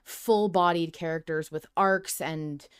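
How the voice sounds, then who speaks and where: A woman with a slightly low, clean and smooth voice co-hosts a fan podcast. She talks casually but emphatically, with expressive phrasing and easy swearing. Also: slow pace. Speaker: podcast co-host.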